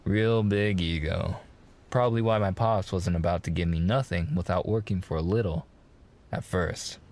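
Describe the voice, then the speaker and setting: Man acting a low-voiced, earnest young mafia fiancé. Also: moderate pace, a little mellow. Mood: tender, hesitant. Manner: slangy wiseguy talk, hesitant, earnest, reassuring. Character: smitten young mafia man, earnest, protective, gently courting his fiancée. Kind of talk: boyfriend ASMR roleplay acting.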